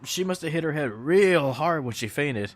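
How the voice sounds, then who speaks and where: Man as a higher-voiced, easygoing teenage boy. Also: animated, moderate pace, very noisy, lightly acted. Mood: casual puzzled. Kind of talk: anime game fan dub.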